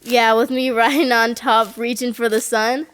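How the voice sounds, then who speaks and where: A woman playing a brash but soft-hearted small-town country girl in an audio horror drama. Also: fairly high pitch, fairly even intonation, moderate pace, smooth, lightly acted. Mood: fond.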